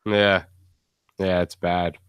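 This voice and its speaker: Male podcast host, lowish pitch, loose rambling conversational delivery, dry close mic. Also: flat delivery, takes it slowly, a bit bright, clean tone, no room sound. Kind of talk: casual post-show discussion.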